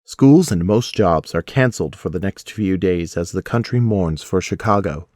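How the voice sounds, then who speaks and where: A man's voice: low voice, expressive, moderate pace, a little mellow, dry recording, clean studio sound. A man narrating a scripted audio drama as its storyteller.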